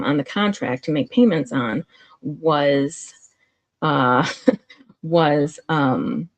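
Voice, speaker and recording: A woman with a low, gravelly, nasal voice talks through a real estate contract on a live stream. She explains each point step by step in a casual way. Her talk is loose, with many "um"s and restarts. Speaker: commentary streamer. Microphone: streaming setup mic.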